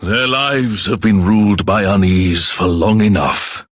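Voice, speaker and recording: Weary fantasy game king: low, husky voice, slow solemn delivery, formal and burdened. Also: no room sound, studio quality. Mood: troubled, weary. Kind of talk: dramatic video game voice acting.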